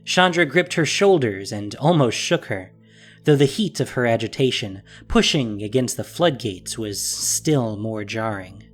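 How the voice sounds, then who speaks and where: Expressive male story narrator. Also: mid-range voice, a little slow. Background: music.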